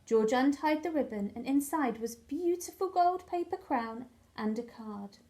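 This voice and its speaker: A woman's voice: sits high, lively intonation, measured pace, warm tone. A woman reading a children's story aloud expressively, like a teacher to young pupils.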